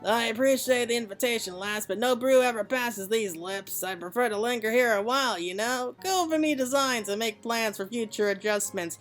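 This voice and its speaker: A woman's voice: fairly high pitch, moderate pace, hardly any pauses, faint music behind, natural voice. Woman putting on a folksy old master craftsman. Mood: folksy, proud. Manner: folksy dialect, saying "me" for "my". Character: gruff old male master craftsman, folksy, proud mentor. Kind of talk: video game dialogue read aloud.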